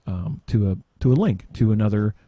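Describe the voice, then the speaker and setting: A man giving an informal software demo, halting and tangential as he thinks aloud in casual talk. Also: lowish voice, expressive, slight background noise. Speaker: podcast panelist demonstrating software.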